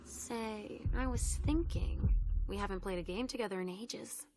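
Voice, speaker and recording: A woman playing a protective vampire mother posing as a police officer in a video game, speaking in a hushed, measured, guarded way. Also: medium pitch, very noisy, lightly acted. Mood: tender, uneasy. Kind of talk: video game cutscene voice acting. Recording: loud music under the voice.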